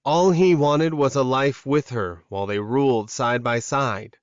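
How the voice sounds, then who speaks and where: A man's voice: medium pitch, measured pace, clean tone, dry recording, dull audio. Very dry-sounding male audiobook narrator. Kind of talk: scripted dramatic fiction narration.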